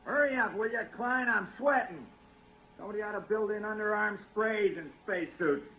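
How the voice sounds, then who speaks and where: An actor playing a cynical, wisecracking moon geologist with a breezy, sardonic manner in a 1950s radio drama. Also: male voice, high voice, a little fast, close to an everyday voice. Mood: impatient. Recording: tinny, hissy 1950s radio recording.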